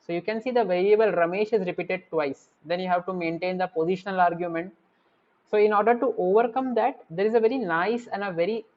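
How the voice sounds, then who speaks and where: A male university lecturer talks his class through code, step by step. He keeps checking in with "right?" and "fine?". The sound is dull and lo-fi. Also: sits high. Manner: explanatory, often adds "right?" tags. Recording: dull lo-fi audio.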